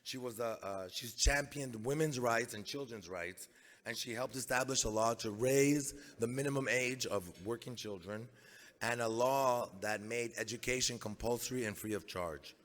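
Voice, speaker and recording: A man gives a formal introduction at a gala in a plain, steady way with small slips. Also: medium pitch, moderate pace. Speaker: presenter at a gala. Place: large banquet hall. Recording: long reverb from banquet hall PA.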